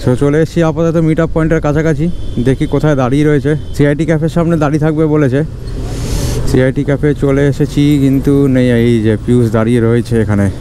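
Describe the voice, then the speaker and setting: A man talks on the move, his voice a touch high for a man. He keeps up a chatty running commentary and scolds careless drivers aloud. Wind and traffic roar in a helmet-mounted mic. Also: fairly even intonation, fast. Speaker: motovlogger. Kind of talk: casual ride commentary. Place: outdoors on a moving motorbike. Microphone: helmet-mounted camera mic.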